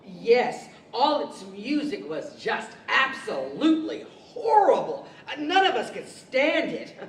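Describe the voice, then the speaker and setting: A woman's voice: mid-range voice, slight background noise. A woman playing a living patchwork doll, giddy, vain and giggly, with singsong radio-play delivery. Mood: scornful. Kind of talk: 1940s radio-style stage acting. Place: library stage, microphones on stands. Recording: echoey hall from far off.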